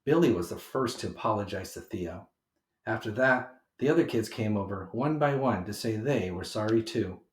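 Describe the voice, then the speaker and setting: A man reading a children's picture book aloud with animated, emphatic delivery for young listeners. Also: lowish voice, moderate pace. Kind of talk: expressive children's read-aloud narration. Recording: roomy homemade recording.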